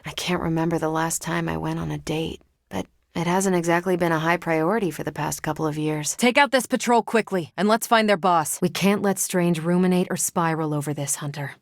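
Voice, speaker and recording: Woman acting a confident superhero soldier, female, slightly low pitch, casual wry delivery, upbeat, dry studio sound, video game lines. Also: dry recording, close to an everyday voice. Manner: casual, wry, self-assured.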